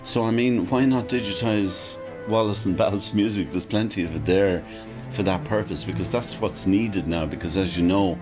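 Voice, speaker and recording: An Irish man who edits opera scores, speaking as a guest in a radio interview in a thoughtful, measured way, often adding "you know" and "I mean". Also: low voice. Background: music.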